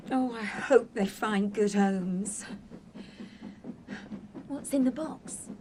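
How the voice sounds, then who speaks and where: A woman's voice: mid-range voice, drawn-out words, background noise. A woman playing a caring female teacher who minds evacuee children in a wartime radio play. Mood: concerned. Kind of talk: radio drama acting.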